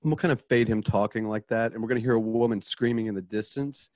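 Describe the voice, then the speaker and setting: A man with a lowish voice narrates a radio play's scene and sound cues. His delivery is casual and improvised, and the sound is dry and close. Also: steady tone, quick pace, darkish tone, dry recording. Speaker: narrator and director.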